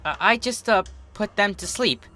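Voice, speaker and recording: A man voicing an eager, naive little girl pony in a story reading, chirpy, childlike and excitable. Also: sits high, normal speed, faint music behind, voice pushed up. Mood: nervous, unsure. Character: eager, naive little filly pony, young female student.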